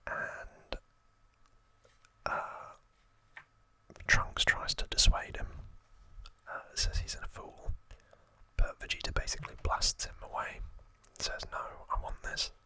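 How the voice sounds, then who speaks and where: A man hosting an ASMR video, whispering trivia in a breathy, unvoiced, soft and informal way. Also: poor audio. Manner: soft, unhurried, informal retelling.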